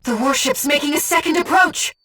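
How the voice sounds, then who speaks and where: A woman playing an earnest, devoted young female spirit guide in a video game, speaking with composed, gentle precision as a mission operator. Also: sits high, a little fast, far from the mic, faint music behind. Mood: urgent, alarmed. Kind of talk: scripted video game voice acting.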